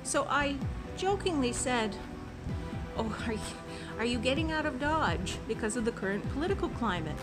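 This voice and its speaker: A woman telling a personal story in an earnest, impassioned way, swinging from soft to loud. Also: a little high, animated, moderate pace. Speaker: passport office clerk. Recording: loud music under the voice.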